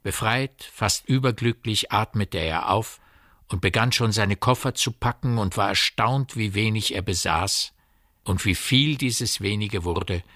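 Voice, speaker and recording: An actor narrating a dark literary radio play as a sober, detached, articulate storyteller. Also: male voice, on the low side, a little slow, no room sound, studio quality. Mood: measured, dry.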